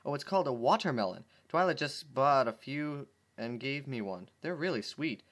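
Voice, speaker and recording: A man's voice: mid-range voice, animated, moderate pace, fairly bright tone, lightly acted. Flustered, evasive pony, voiced by a man. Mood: eager, casual. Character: flustered female cartoon pegasus pony, evasive and defensive. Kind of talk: amateur fan fiction read-aloud.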